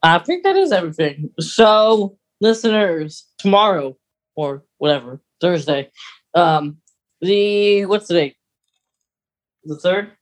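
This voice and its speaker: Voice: mid-range voice, animated, takes it slowly. A podcast co-host chatting casually about fan topics, thinking aloud in a hesitant, rambling way.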